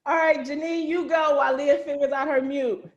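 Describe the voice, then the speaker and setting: A woman's voice: sits high, steady tone, moderate pace, dull audio. A woman hosting a casual group video call in a chatty, informal way. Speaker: host of cast meetup, writer-director. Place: indoor room on a video call. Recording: roomy, echoing video call.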